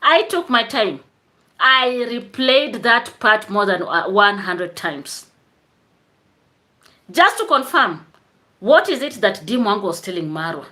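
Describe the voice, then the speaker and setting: A woman's voice: mid-range voice, sing-song, slow, pauses often, bright, forceful tone. A woman chatting casually on a live stream, greeting viewers by name and laughing often. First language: Swahili.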